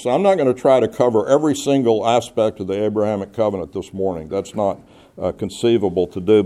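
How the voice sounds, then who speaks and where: Guy preaching at church, warm mellow voice, reads the verses then walks through them slow and teacherly. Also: medium pitch. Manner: measured, teacherly, reasons aloud with the listeners. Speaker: pastor teaching the Bible. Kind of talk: expository Bible teaching, reading scripture and explaining it.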